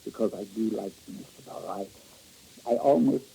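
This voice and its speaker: An actor playing a greedy, melodramatic foreign male rogue in old-time radio style, pleading and ingratiating in a halting, husky voice. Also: lowish voice, warm tone, a little hiss or hum, low-quality recording. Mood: confessing, pleading. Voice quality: husky, breathy. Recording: old radio recording with faint music.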